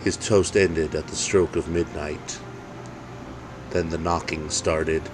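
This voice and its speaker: Deep, slow-speaking male storyteller. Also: steady tone, music in the background. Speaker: author reading own story. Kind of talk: scripted dark Christmas storytelling.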